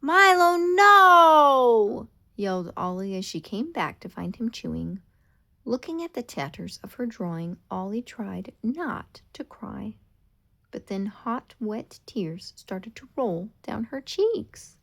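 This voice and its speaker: A woman narrates a children's storybook in a deliberate, expressive way. Her pitch moves around a lot at a middling height, keeping the telling animated for young listeners. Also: gravelly. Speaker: storybook reader.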